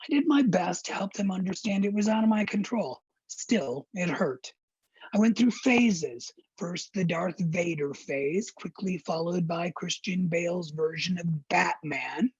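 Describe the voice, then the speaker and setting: Middle-aged man, deeply raspy and breathy, wry storyteller, dry lo-fi recording. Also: no echo. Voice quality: raspy, breathy voice after throat surgery. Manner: wry, candid, measured delivery. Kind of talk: personal storytelling to a virtual audience.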